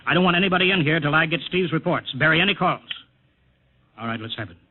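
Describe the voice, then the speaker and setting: An actor playing a gruff, anxious middle-aged American boss of a rocket test field in a 1950s radio drama, urgent and commanding. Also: male voice, fairly high pitch, animated, quick pace, phone-quality sound. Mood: stern, impatient. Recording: band-limited old radio recording.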